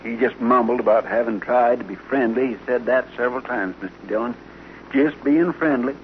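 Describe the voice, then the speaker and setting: A man's voice: mid-range voice, a little fast, natural voice. Earnest western deputy, played by a man, old radio. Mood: subdued, earnest. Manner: respectful, earnest, eager to report. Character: deferential, earnest male deputy to a western marshal. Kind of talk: old-time radio drama acting. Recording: dull vintage radio recording, faint noise.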